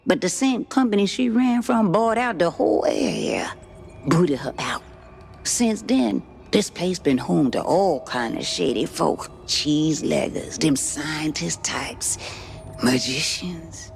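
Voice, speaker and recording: A woman's voice: on the low side, slow pace, vocal fry, darkish tone, faint music behind. An actress playing a sly, ancient, folksy swamp witch in a video game, with a Cajun accent and a teasing, cryptic drawl. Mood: mysterious storytelling. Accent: Louisiana Cajun. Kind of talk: video game cutscene acting.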